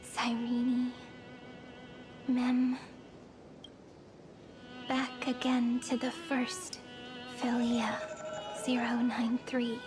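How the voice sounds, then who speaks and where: An actress playing a dreamy, wistful young woman in a game cutscene, speaking poetically in a breathy, hushed voice that drifts into whispers. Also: on the high side, monotone, very slow, long pauses. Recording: voice under loud string music.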